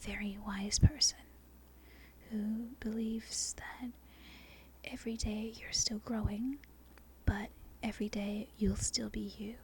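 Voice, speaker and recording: Whispering Australian woman, halting and soft. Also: medium pitch, level tone, a little hiss or hum. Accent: Australian, softened. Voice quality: breathy whisper. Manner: halting, unscripted, self-correcting. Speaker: amateur ASMR video creator. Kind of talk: whispered ASMR ramble. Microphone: non-binaural microphone.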